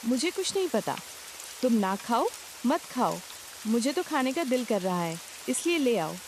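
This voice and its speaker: A nagging village wife from a cartoon tale, voiced by a woman, speaks in a fairly high voice with lively swings. She is bossy, homely and insistent. Rain and hiss run behind her. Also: unhurried. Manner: insistent, scolding. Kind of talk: cartoon story dialogue acting. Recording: hiss with rain and sizzle noise.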